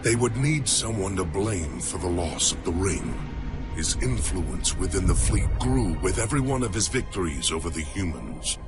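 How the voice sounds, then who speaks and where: An actor playing a resolute alien warrior turned rebel in a game cutscene, speaking in an earnest, formal way. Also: male voice, sits low, big pitch swings, moderate pace. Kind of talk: video game cutscene acting. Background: music score.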